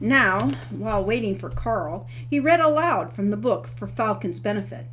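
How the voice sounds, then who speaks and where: A woman's voice: mid-range voice, animated, normal speed, fairly bright tone, slight background noise, rough recording. A woman reading her own book aloud into a webcam, with casual asides. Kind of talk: audiobook read-aloud.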